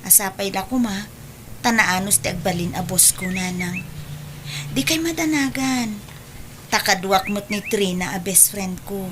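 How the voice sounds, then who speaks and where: A woman acting a calm, determined grown daughter. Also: mid-range voice, unhurried, long pauses, background noise, lightly acted. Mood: hopeful, resolute. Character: determined, dutiful adult daughter reassuring her mother. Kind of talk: restrained audio drama acting.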